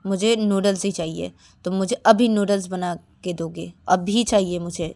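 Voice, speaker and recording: A woman voicing a demanding, bossy young girl who talks loudly and insists on getting her way. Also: fairly low pitch, steady tone, normal speed, gravelly, no echo. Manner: loud, insistent, pushy.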